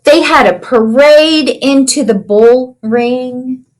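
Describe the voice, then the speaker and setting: A woman reading a picture book aloud to children in an animated storytelling way, pausing to ask them simple questions. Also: high voice, rough recording. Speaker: children's read-aloud teacher.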